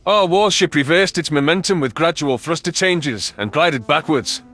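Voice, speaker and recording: A man's voice: on the high side, normal speed, fairly bright tone. A man reading scripted first-person science fiction narration as an audiobook narrator.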